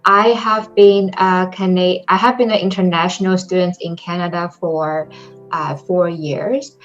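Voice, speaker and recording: A woman's voice: fairly low pitch, steady tone, a little slow, dull audio, soft background music. A young woman from China with a Chinese accent, giving an online presentation in careful English with frequent ums. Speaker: presenter for a study-abroad program.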